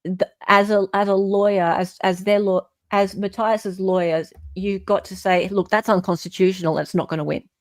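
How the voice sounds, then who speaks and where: A woman's voice: mid-range voice, level tone, moderate pace, hardly any pauses, no echo. An Australian woman hosting an online show, reading a legal filing aloud with emphatic, incredulous off-the-cuff commentary.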